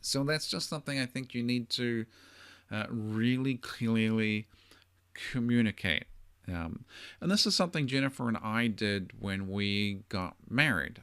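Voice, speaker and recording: A man's voice: mid-range voice, measured pace, a bit bright, clean tone. A middle-aged man with a New Zealand accent, hosting a podcast and giving relationship advice in a thoughtful, conversational solo monologue. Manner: thoughtful, conversational, with frequent hedging fillers.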